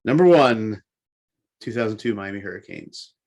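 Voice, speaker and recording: A man's voice: lowish voice, expressive, normal speed, darkish tone. A man co-hosting a sports podcast, talking casually through a countdown of teams and games.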